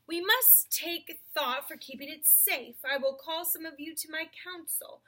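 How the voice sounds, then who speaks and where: Woman voicing a slow, solemn lion king. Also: high-pitched, bright, forceful tone, pitched above the performer's own voice. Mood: grave, commanding. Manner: stately, formal, deliberate.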